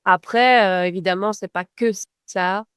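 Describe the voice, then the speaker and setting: A woman's voice: medium pitch, steady tone, a little slow, fairly bright tone, clean tone, no room sound, clean studio sound. A woman, a women's health coach answering interview questions casually and hesitantly, with many fillers. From Belgium.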